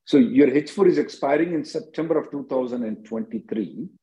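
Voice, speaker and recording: A man, hosting a call-in as an attorney, briskly answering questions and repeating himself. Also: medium pitch, warm tone. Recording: dull lo-fi conference call audio.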